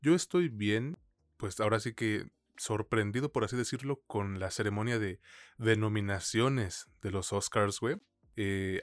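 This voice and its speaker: A man's voice: fairly low pitch, dry recording, clean studio sound. A Mexican man co-hosting a film podcast, chatting in an informal, slangy way. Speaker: podcast co-host. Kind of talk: casual film podcast chat.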